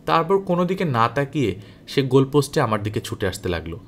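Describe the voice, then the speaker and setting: Expressive man narrating a story. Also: medium pitch, fast, slight background noise. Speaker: audiobook narrator. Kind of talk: dramatic storytelling, reading a novel aloud.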